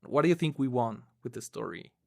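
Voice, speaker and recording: A man's voice: mid-range voice, expressive, fairly quick, creaky, darkish tone. A man interviewing as a podcast producer, speaking in a thoughtful, conversational way.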